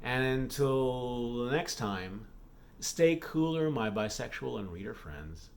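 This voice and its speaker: A man's voice: medium pitch, animated, slow, creaky. A man talking casually and candidly as a vlogger, rambling through personal updates. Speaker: YouTube vlogger and author.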